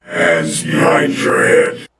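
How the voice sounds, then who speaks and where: A man's voice: level tone, slow pace, a bit bright, exaggerated voice. A man voicing a menacing robotic enforcer in an audio drama, giving terse commands through a robot filter with delay, chorus and reverb. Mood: menacing, commanding. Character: menacing robotic enforcer machine barking orders. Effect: robot filter with delay, chorus, reverb and pitch change. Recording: heavily processed, echoing effects.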